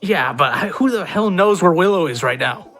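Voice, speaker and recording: High-voiced man bantering at game night. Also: expressive, normal speed, vocal fry, a bit bright, soft background music. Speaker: tabletop role-playing game player. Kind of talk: casual, joking in-character banter.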